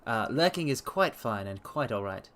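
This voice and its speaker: A man streaming a dramatic story reading, with theatrical, expressive delivery and casual asides. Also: medium pitch, moderate pace. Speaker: streamer and reader.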